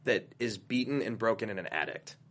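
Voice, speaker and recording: A man's voice: on the low side, expressive, brisk, a bit bright. A man on a film panel in casual banter, with a joking, self-mocking manner. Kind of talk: casual banter about films.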